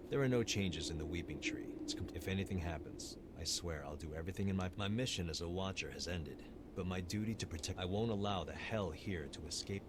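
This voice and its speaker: A man's voice: sits low, a little fast, natural voice. A man playing a solemn, resolute sworn guardian in a video game cutscene. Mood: grim, resolute. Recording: very noisy game stream capture.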